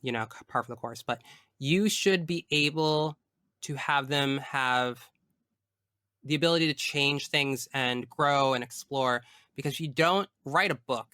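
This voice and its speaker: A man talks casually as an interview guest, with a bright, smooth voice. He is expressive and emphatic, full of enthusiasm. The sound is dry and close, without room echo. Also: medium pitch, unhurried. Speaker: tabletop game master. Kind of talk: casual interview chat about personal experience.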